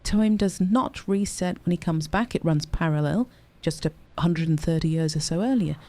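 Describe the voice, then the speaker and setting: An actor playing an earnest, analytical investigator who explains things methodically, in an audio drama. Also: male voice, fairly high pitch, normal speed, dark tone, natural voice. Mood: earnest, puzzling.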